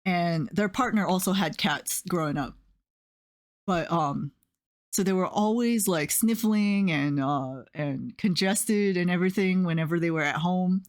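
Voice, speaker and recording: A young woman with a fairly low voice chats on a stream. She tells loose, rambling stories and fills her sentences with little hesitations. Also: unhurried. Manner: rambling storyteller with many fillers and soft laughs. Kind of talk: casual chat while drawing on stream.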